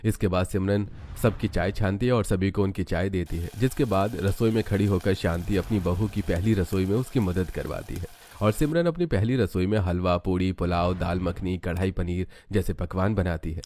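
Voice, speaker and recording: A man's voice: sits low, normal speed, dark tone, a little hiss or hum. A man reading scripted narration for a cartoon family story.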